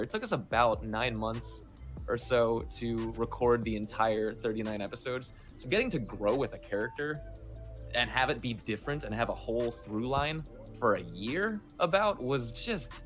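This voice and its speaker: Animated man chatting over music. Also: mid-range voice. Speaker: voice actor, interview guest. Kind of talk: casual interview chat. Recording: music playing behind the voice.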